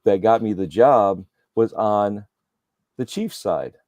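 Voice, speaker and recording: A man co-hosting a casual gaming podcast, telling a relaxed story in a radio-style voice with a teasing streak. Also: fairly low pitch, slow, no room sound. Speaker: podcast co-host. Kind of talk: casual chat.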